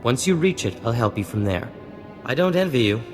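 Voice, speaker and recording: An actor playing a suave, boastful and flirtatious hacker in a video game, smooth-talking and theatrical. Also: male voice, medium pitch, big pitch swings, a little fast, over music, lightly acted. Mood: self-assured. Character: suave, boastful male slicer, flirtatious and clever. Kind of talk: video game dialogue acting.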